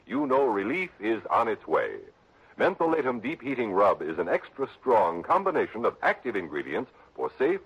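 A male announcer reading a scripted radio commercial in a smooth, emphatic manner. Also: lowish voice. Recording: dull lo-fi old radio broadcast.

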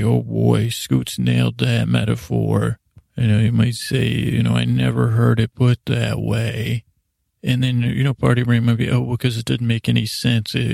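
Man, heavy gravelly fry, flat intonation, soothing, halting and meandering, bedtime podcast monologue. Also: mid-range voice, no echo, clean studio sound. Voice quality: creaky, gravelly. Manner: hesitant, meandering, repeats and trails off; lulling, soothing delivery. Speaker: podcast host.

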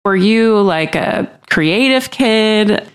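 A woman's voice: mid-range voice, expressive, takes it slowly, clear, resonant tone. A woman co-hosting a podcast, reading the introduction and asking curious, friendly questions. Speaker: podcast co-host.

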